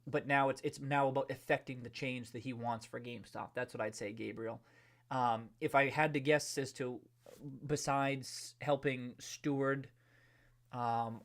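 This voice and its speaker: A man, hosting a livestream and chatting loosely with viewers, with many restarts and trailing phrases. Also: mid-range voice. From New England. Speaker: livestream host. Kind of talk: casual livestream chat reading viewer comments.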